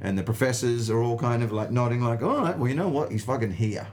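A man's voice: mid-range voice, fast, a little mellow, soft background music. An Australian man, a stand-up comedian being interviewed, telling anecdotes casually in an emphatic, sweary way and acting out the dialogue. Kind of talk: animated anecdote in casual conversation.

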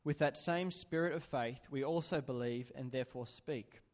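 Mid-pitched male scripture reader, steady and measured, in a church with a long hall reverb. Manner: steady, even-paced reading aloud. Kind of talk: measured Bible reading.

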